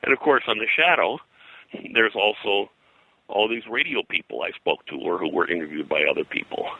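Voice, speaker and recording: An older man with a low voice recalls his career in a conversational interview. He rambles and halts, doubling back on words. The sound is sharp and forceful, captured very dry and close. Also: lively intonation, breathy edge, dry recording, rough recording. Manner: rambling, halting, repeats words. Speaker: interview guest, pop culture historian and pulp publisher.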